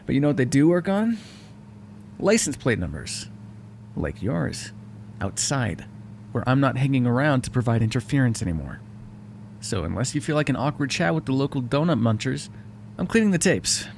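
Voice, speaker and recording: A man's voice: medium pitch, very animated, moderate pace, creaky, long pauses, a little mellow, a little hiss or hum. A man voicing a sarcastic, foul-mouthed vampire who is grudgingly helpful and hungry, speaking intimately to the listener in an audio roleplay. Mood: dry, grumbling. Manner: sarcastic, wry, exasperated, conversational. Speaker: roleplay voice actor.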